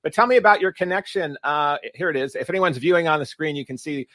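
A male podcast host with a fairly high voice, recorded dry and close with no room sound. He asks long, meandering questions, restarting his sentences and using filler words. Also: quick pace, fairly bright tone, dry recording. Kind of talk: podcast interview.

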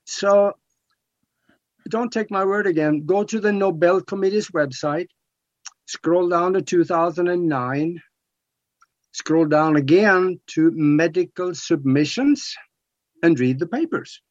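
A man's voice: high-pitched, slow, long pauses, dry recording. A man in his seventies from Norway with a Norwegian accent, explaining things emphatically as a talk radio guest. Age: 70s. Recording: dull lo-fi talk radio audio.

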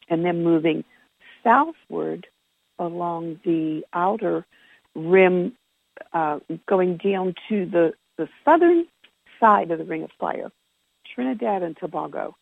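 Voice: medium pitch, gravelly, husky. A person giving an informal spoken report from notes on a conference call, in a conversational way with self-corrections, heard over a telephone line. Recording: tinny, phone-like conference call line with echo.

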